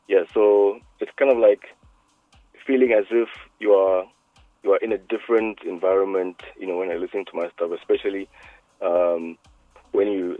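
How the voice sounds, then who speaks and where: A man who is a music producer, talking by phone in an interview, hesitant and full of "um"s and quick to laugh. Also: low-pitched, no echo. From South Africa. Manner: hesitant, many "um"s, laughs easily. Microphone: phone line. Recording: tinny dry phone line.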